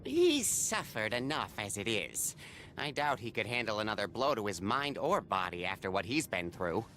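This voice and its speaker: A man voicing a kindly, wise adoptive father in a video game, gentle, reflective and reassuring. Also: on the low side, big pitch swings, moderate pace, a little hiss or hum. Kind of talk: video game cutscene acting.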